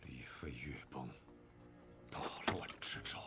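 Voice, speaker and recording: An actor playing a grave, measured clan lord in an animated period fantasy drama. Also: male voice, extremely low pitch, whispered, loud music behind the voice. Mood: ominous.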